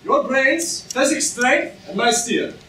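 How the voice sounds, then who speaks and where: A man's voice: very high voice, expressive, takes it slowly, pushed and bright, exaggerated voice. A young man playing an earnest, urgent and loyal swordsman in a comic stage reading. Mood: urgent, brisk. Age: young adult. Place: lecture hall stage, far camera. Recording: distant echoey hall recording, background noise.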